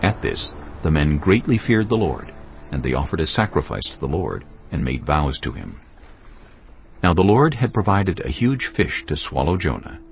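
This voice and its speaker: A man narrating scripture for a dramatized audio production in a deliberate storytelling manner. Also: a bass voice, creaky. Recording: dull band-limited audio, faint music.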